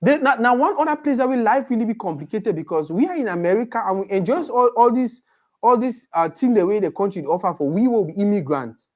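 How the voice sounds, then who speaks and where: A man's voice: sits high, fast talker. A man chatting casually as a podcast co-host. He talks with energy and slips into pidgin. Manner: animated, mixes pidgin into his English. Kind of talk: casual chat.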